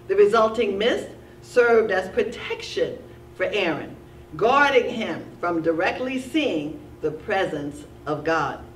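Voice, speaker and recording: A woman teaching a Sunday school Bible lesson at a church lectern, reading steadily and slowing down to stress key words. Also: medium pitch, a little hiss or hum. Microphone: lectern mic, filmed from a distant camera. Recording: roomy church sound from a distance.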